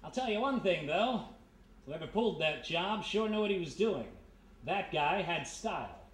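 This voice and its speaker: Guy doing smooth old radio private eye, american, wry, roomy stage sound w/ a little noise. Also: high voice, lively intonation, moderate pace. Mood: nonchalant. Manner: wry, wisecracking, nonchalant. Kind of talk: 1940s radio mystery acting, live on stage. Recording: echoey live stage, faint noise.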